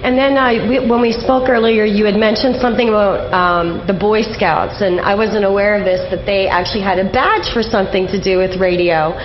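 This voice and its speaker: A woman's voice: medium pitch, steady tone, brisk, few pauses. A woman hosting a radio interview, asking questions in an informal, conversational, inquisitive way. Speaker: radio show host. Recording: slightly dull radio recording.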